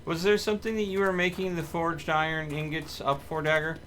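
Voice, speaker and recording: A man's voice: a little high, level tone, a little fast, fairly bright tone. Male game streamer, faint background noise. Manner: playful, joking asides. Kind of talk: casual gaming livestream chat.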